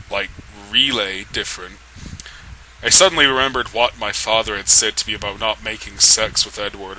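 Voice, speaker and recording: A man's voice: lowish voice, bright, forceful tone, a little hiss or hum, poor audio. A man giving a dramatic reading of amateur fan fiction, amused and often struggling to keep from laughing. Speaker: reader of a comedic fan fiction read-aloud.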